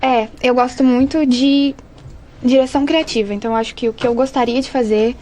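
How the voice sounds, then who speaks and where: A woman's voice: high-pitched, fairly even intonation, a little fast, dark tone, a little hiss or hum. A young Brazilian woman telling personal stories casually and informally as a podcast guest. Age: young adult. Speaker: advertising student, podcast guest. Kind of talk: casual conversational storytelling.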